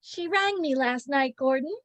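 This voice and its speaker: A woman's voice: high voice, expressive, unhurried, a bit bright. A woman playing a naive, anxious amateur panto actress in a read-through of a stage comedy. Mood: hesitant. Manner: hesitant, naive, dithering. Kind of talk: play reading of a stage comedy.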